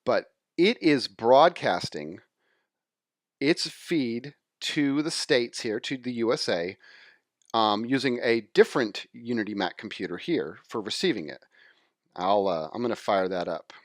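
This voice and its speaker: Male, software demonstrator, mid-pitched voice, informal step-by-step explaining, screen-share tutorial, dry close sound. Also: lively intonation, a little slow, no room sound.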